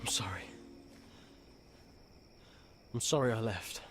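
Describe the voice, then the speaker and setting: An actor playing a blunt, emotional young British wizard, hot-headed but remorseful, in a dramatic game scene. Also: male voice, lowish voice, quick pace, a bit bright, soft background music, lightly acted. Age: young adult. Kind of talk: dramatic film-style game acting.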